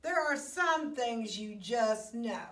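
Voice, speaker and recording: A woman's voice: a little high, animated, slow pace. A woman voicing a know-it-all female frog in a children's storybook, assertive and sure of herself. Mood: certain. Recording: roomy, echoey, distant microphone.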